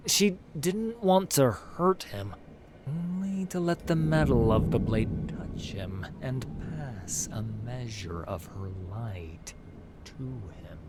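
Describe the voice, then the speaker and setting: A man narrating a fantasy story in a dramatic, deliberate, intense scripted style. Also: mid-range voice. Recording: clear noise and ambience behind. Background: ambience and music.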